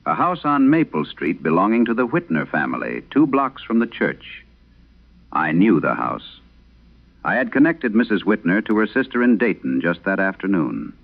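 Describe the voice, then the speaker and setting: A man narrating a scripted vintage radio horror tale in the first person, in a grave, measured, gravelly voice. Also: on the low side, sing-song. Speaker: narrator of a horror audio drama. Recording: muffled, band-limited vintage radio sound.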